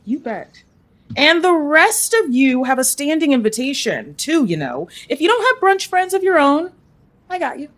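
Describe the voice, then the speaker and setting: An actress as a brash, generous woman in an audio drama. Her voice sits fairly high and swings around with lots of expression. She talks bluntly and informally, with a wry edge. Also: big pitch swings, normal speed, a bit bright. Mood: friendly. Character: brash, generous woman who can turn threats to ash.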